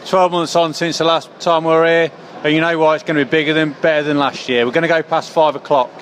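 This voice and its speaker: Cheerful guest at a festival. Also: male voice, a little high, level tone, quick pace, fairly bright tone, slight background noise. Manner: cheerful, hammy. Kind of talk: casual chat.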